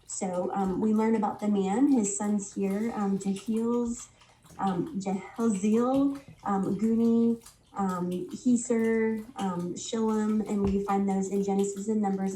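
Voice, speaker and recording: A woman's voice: medium pitch, dark tone, roomy sound, recorded from a distance, slight background noise, rough recording. A woman recovering from a head cold, leading a casual Bible study lesson and stopping often on ums. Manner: unhurried, many ums and restarts.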